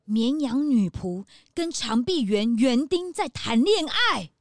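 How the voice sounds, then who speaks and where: A woman's voice: high-pitched, big pitch swings, slow, very noisy, full character voice. A woman playing a chatty, impatient young detective's assistant who butts in with exclamations, in a children's audio drama. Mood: excitable.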